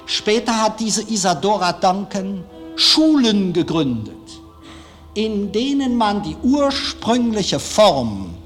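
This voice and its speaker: A man speaking in a reflective personal monologue, deliberate and emphatic, with long pauses. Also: high-pitched, very animated, faint music behind. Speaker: film director.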